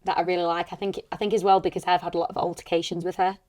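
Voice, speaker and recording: A woman with a slightly low voice talking casually on a podcast. She comes across as earnest and reflective, feeling her way through each thought. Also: little pitch movement, racing, a little mellow. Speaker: actress being interviewed on a behind-the-scenes podcast, also a teacher. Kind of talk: casual chat.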